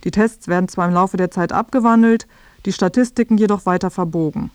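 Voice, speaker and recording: Female feature narrator, mid pitch, explanatory scripted read, very dry studio sound. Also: fairly quick, dry recording.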